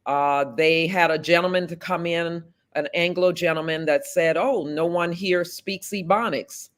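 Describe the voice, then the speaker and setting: A woman in her fifties from South Florida, a political candidate answering interview questions in an earnest, deliberate way with measured pauses. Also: fairly low pitch, fairly even intonation. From South Florida, USA.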